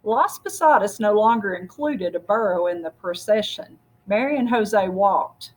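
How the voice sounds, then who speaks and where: A woman with a slightly husky voice reads a picture book aloud, speaking directly to her listeners as a storyteller. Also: medium pitch, animated, measured pace, poor audio. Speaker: story reader.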